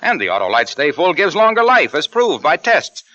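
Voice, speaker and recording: A man's voice: on the high side, big pitch swings, moderate pace. A man announcing a radio drama and reading ad copy in emphatic 1940s style. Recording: dull old radio recording with loud music.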